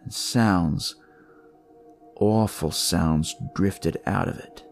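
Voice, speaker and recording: Slow, gravelly man telling dark stories. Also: low voice. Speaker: storyteller reading in first person as a detective. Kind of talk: dramatic scripted noir horror narration. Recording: music behind the voice.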